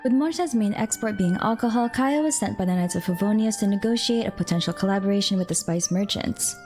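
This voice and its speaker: A woman presenting a game lore recap in brisk scripted narration. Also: medium pitch, darkish tone, soft background music.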